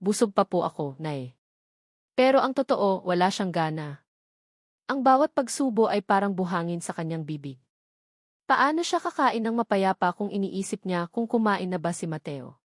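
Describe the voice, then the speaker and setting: A woman's voice: medium pitch, lively intonation, moderate pace, dry recording, studio quality. A woman with a Filipino accent reading a scripted, dramatic romance story as its narrator.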